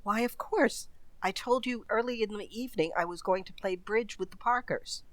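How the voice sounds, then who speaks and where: An impatient wife from a radio mystery, played by a woman. She questions and scolds with suspicion in a medium-pitched voice, recorded dry. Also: normal speed, no echo, natural voice. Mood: impatient, scolding. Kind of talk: old radio mystery acting.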